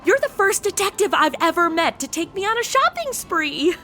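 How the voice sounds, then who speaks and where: A young woman playing a snarky, excitable modern gal in a radio comedy, gushing and sassy. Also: very high-pitched, normal speed, faint music behind, full character voice. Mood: gushing, excited. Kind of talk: comic narration and banter in modern slang.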